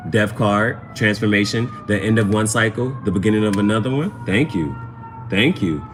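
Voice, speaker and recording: A man's voice: lowish voice, normal speed, soft background music. A man hosting a casual live tarot reading, chatting to viewers in slangy speech and often repeating his phrases. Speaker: tarot reader, livestream host.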